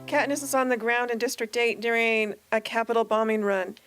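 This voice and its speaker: A woman's voice: a little high, flat delivery, fairly quick, a bit bright. A woman reading scripted narration for a radio drama, as an announcer. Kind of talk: scripted episode outro narration. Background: music.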